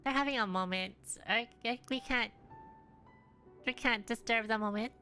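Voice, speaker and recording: A woman with a high, very bright voice chats casually while streaming a game. She reacts aloud and exclaims freely. Game music sits faintly behind her. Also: normal speed. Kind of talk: casual chat and live reactions while gaming.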